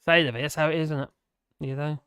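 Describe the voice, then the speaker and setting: A hoarse live streamer, rambling in casual chat to viewers and making fun of themselves. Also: mid-range voice, steady tone, fast talker, fairly bright tone, dry recording, studio quality. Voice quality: rambling, self-deprecating.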